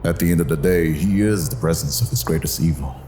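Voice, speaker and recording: A man's voice: extremely low pitch, quick pace, warm tone, slight background noise. A man playing a stern, realistic demon of royal rank in an audio drama, speaking in a sober, dry, formal manner. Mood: grave.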